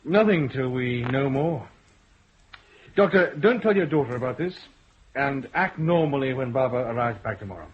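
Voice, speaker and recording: An actor playing a persistent, polite police chief inspector who asks calm, probing questions in a vintage radio play. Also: male voice, medium pitch, moderate pace, close to an everyday voice. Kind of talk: 1960s radio drama acting. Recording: muffled old radio broadcast, faint hiss.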